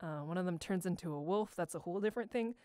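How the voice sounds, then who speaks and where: A woman's voice: fairly low pitch, quick pace, no room sound, studio quality. A young woman telling stories in a casual, slangy, irreverent way, rambling as she goes. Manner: slangy, irreverent, animated retelling with acted quotes. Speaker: amateur voice actor answering a question.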